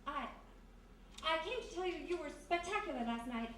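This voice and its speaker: A woman playing a bold, quick-witted young lady sleuth in a period radio mystery. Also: very high voice, animated, fairly bright tone. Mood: eager, flattering. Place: live performance in a historic house. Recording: very echoey live stage, distant, noisy.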